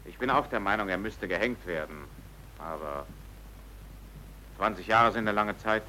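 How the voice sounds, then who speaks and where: A man's voice: fairly low pitch, animated, moderate pace, sharp tone, a little hiss or hum, poor audio. An actor playing a firm, principled man in a vintage radio play, with emphatic, clipped delivery. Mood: stern refusal. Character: principled, firm man refusing to betray someone.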